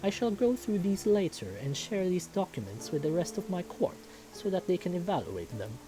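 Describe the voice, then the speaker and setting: Man putting on a stately, higher-pitched animal king. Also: big pitch swings, rough recording. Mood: gracious. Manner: formal, measured, commanding. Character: stately furry animal king, fatherly, calm but stern.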